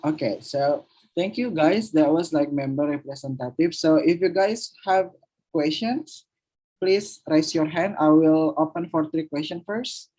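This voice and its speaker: Woman host, low and mellow, casual online class chat. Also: a little slow, rough recording.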